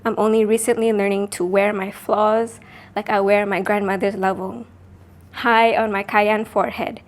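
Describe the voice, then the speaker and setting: A woman from Sarawak, Malaysia, performing a spoken word poem in a measured, deliberate way, pausing between phrases. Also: medium pitch.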